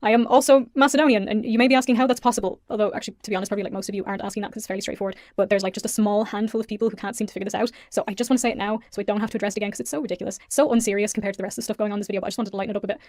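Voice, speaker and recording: A woman's voice: medium pitch, fairly even intonation, a little mellow, dry recording, clean studio sound. A young woman with an Irish accent, earnestly explaining and apologizing in a video of her own. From Ireland. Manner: earnest, apologetic, rapid talk. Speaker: YouTuber being reacted to.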